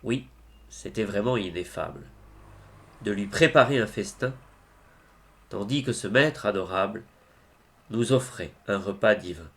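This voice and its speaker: A man reciting religious poetry in a solemn, declamatory way, phrase by phrase with deliberate pauses. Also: fairly low pitch, expressive, creaky, a bit bright. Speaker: reader of devotional poetry.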